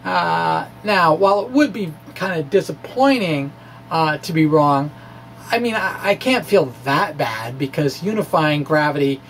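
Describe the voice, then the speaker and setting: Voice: mid-range voice, lively intonation, a little slow. A person presenting a science talk as a dramatic reading, in a conversational, explanatory way with casual asides. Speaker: amateur physicist presenting. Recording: clearly noisy recording with hum.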